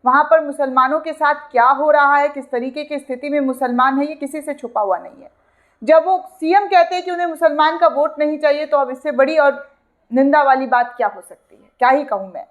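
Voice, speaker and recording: A woman presenting opinionated news commentary in an emphatic manner, repeating phrases and posing rhetorical questions. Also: sits high. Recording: dull, lo-fi sound.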